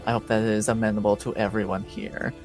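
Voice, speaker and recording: A man playing a reserved, courteous noble father in improvised tabletop roleplay, speaking in a measured, formal, restrained way. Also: lowish voice, little pitch movement, music in the background, lightly acted. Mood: politely firm.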